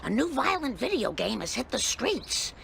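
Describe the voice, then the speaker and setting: An actress voicing an earnest, nagging, motherly housewife in a cartoon game, with a raspy voice and an American accent. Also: high voice, very animated, normal speed, fairly bright tone, slight background noise, full character voice. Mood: prim, disapproving. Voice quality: raspy, gravelly. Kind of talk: animated comedy game voice acting.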